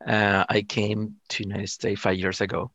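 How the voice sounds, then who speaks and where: A middle-aged man with a low, creaky voice and a Salvadoran Spanish accent. He answers interview questions casually in halting English, circling back over words as he goes. The sound is close and dry. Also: level tone, fairly bright tone, dry recording, muffled recording. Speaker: interview guest, amateur astronomer.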